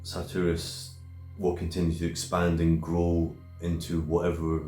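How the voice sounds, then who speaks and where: A man around thirty with a low voice chats reflectively as a guest. The room echoes, and faint music sits behind him. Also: monotone, a little slow, warm tone. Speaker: returning guest. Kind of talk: reflective casual discussion. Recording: roomy echo, faint music.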